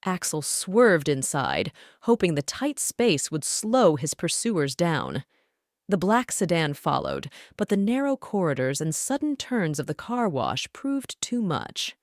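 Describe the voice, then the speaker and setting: Expressive woman reading a children's story. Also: deep voice, a little slow, no room sound, studio quality. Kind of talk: scripted children's bedtime story narration.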